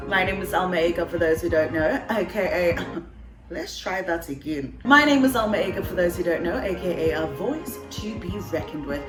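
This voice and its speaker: A woman's voice: fairly low pitch, animated, normal speed, over music. Bubbly Cameroonian woman in an echoey room. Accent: Cameroonian English, shifting toward British. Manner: bubbly, laughs often, playful asides. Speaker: vlogger and voiceover artist. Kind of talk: casual chat to camera.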